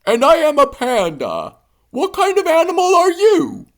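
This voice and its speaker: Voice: high voice, wildly swooping pitch, measured pace, voice pushed up, full character voice. A storyteller voicing a cautious, fearful panda in a raised voice. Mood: wary.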